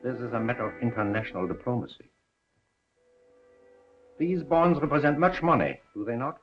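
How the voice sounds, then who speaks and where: An actor playing a stern, coolly threatening male foreign agent in a 1930s crime film, with stiff, formal, deliberate delivery. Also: medium pitch, lively intonation, close to an everyday voice. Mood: cool threat. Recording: muffled 1930s film soundtrack, faint music.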